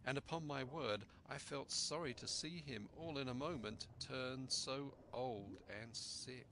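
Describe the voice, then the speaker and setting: A man reading a story aloud as an expressive narrator. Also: mid-range voice, unhurried, gravelly, noisy background. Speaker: storyteller, audiobook reader.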